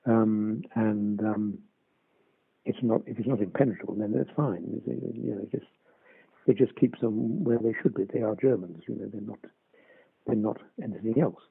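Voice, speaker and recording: An older actor reminiscing in an interview, hesitant with many restarts and chuckles. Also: male voice, on the low side, moderate pace, gravelly, breathy edge, no echo. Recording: dry, tinny phone-like line.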